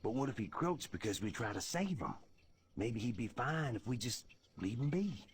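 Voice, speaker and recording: A man's voice: medium pitch, very animated, normal speed, a little hiss or hum, lightly acted. A man playing a skeptical, roguish mutant with a Cajun accent in a video game. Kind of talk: video game cutscene acting.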